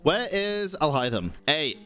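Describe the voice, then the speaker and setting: Young male streamer, lively pitch. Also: unhurried. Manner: sweary, slangy, joking. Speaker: game streamer. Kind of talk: casual live reaction commentary. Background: game music.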